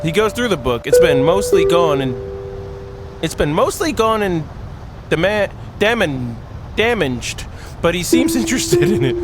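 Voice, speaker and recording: A man with a high-pitched, very animated voice hosts a video game playthrough. He reads the story aloud in a goofy, exclaiming way and slips into joking asides. Game music plays prominently behind him. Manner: goofy, giggly, exclaiming, drifts between reading and quips. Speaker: gaming video host. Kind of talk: playful let's play, reading story aloud with joking asides.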